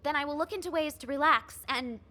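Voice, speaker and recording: Anxious, higher-voiced woman officer. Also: brisk, sharp tone. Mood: nervous, apologetic. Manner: hesitant, stammering, apologetic. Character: anxious, earnest female executive officer and analyst. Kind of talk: video game voice acting.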